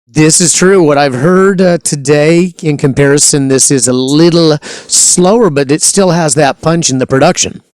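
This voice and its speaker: A man's voice: fairly high pitch, normal speed, hardly any pauses, darkish tone, no room sound. A man hosting a casual interview show, upbeat and hearty, hyping up his guest. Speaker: show host.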